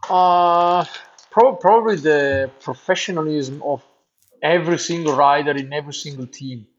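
A man in his thirties from Italy with an Italian accent tinged with Flemish, answering interview questions in halting English and often restarting words. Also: on the high side, highs cut off. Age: 30s. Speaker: professional cyclist, guest.

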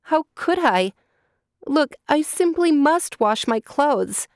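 A woman's voice: high-pitched, lively intonation, slow pace, dry recording, clean studio sound, pitched above the performer's own voice, full character voice. A woman voicing a young captive girl in a storybook, speaking in an earnest, pleading way.

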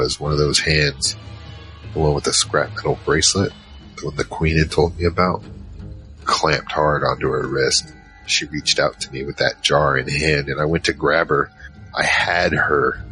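An actor playing a huge, shaken survivor recounting a monster battle in a halting first-person audio drama narration. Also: male voice, sharp tone, poor audio. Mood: grim. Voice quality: very low, heavy voice. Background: music.

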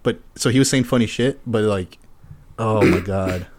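A man's voice: on the low side, moderate pace. A man co-hosting a podcast in casual chat, rambling with restarts and frequent laughs. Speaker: podcast co-host.

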